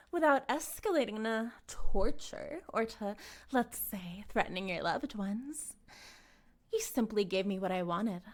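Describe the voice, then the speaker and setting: Woman acting a slow, seductive, sadistic cult leader. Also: a little high. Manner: slow, deliberate, teasing, often dropping into breathy whispers. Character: sadistic, possessive female cult leader captor, smug and seductive. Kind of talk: intimate scripted roleplay monologue.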